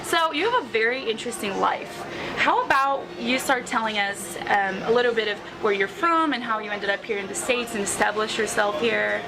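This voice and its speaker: A woman hosting an interview, upbeat and lively, with quick little affirmations. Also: fairly high pitch, poor audio. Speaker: interview host. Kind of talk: hosted interview.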